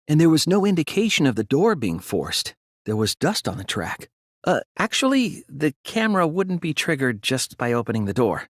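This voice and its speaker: A man voicing an earnest, anxious, thoughtful teenage student detective in a video game, with a hesitant, soft, slightly husky delivery. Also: on the high side, sing-song, normal speed, no echo, studio quality. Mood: reasoning, careful. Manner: hesitant, stammering when flustered, earnest. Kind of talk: English video game character voice acting.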